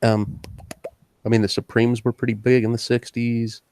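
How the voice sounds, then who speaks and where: A man co-hosting a crude casual chat show, loud and jokey, swearing freely. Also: medium pitch, little pitch movement, normal speed, smooth. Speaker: podcast co-host.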